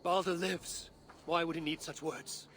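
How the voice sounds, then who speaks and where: Wry, lofty Norse god, noisy background. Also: male voice, a little high, flat delivery, a little slow, a bit bright. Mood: earnest. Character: proud, witty Norse god, male, self-assured and teasing. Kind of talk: video game cutscene acting. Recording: clear background ambient noise.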